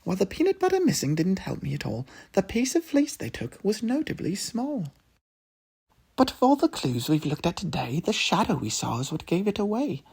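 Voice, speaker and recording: A man's voice: sits high, wildly swooping pitch, normal speed, gravelly, a little mellow, breathy edge, voice pushed up, heavily acted. A man voicing a clever female cat sidekick in a storybook, eager and explaining.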